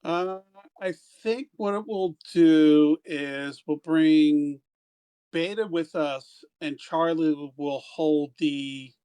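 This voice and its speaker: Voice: mid-range voice, slow, warm tone, smooth, poor audio. Casual player asking questions. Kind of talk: casual tabletop game chat.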